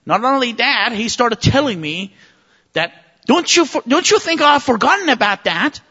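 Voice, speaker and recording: A man's voice: very high voice, expressive, moderate pace, pushed and bright, slightly rough. A man from Iran, a native Persian speaker, preaching an impassioned sermon with vivid stories. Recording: muffled recording with long reverb.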